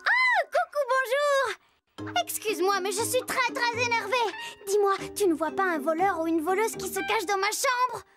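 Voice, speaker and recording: Very high, chirpy girl storyteller. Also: lively intonation, normal speed, over loud music. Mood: chatty, dramatic. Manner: exclamatory, addresses the listener. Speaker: host of children's stories. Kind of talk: playful narration to young viewers.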